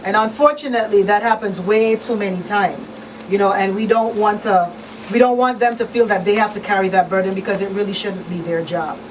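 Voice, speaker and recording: A woman's voice: mid-range voice, brisk. Earnest woman hosting, slightly distant mic. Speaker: radio talk show host. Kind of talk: conversational advocacy talk. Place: small radio studio. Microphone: boom-arm studio microphone. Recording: distant mic, faint room noise.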